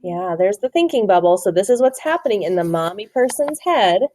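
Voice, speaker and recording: A woman teacher reading a picture book to children expressively, pausing to question and explain to her young listeners. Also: medium pitch, expressive, mellow. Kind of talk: interactive children's storybook read-aloud.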